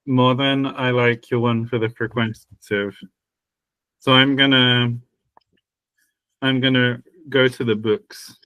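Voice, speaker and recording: A man in casual podcast talk, drawling slowly and circling back over his own words. He restarts sentences often and breaks off with small laughs. The recording is dry and close, with no room sound. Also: mid-range voice, level tone, sharp tone, clear, resonant tone, no room sound, low-quality recording. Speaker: podcast co-host. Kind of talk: casual chat about language.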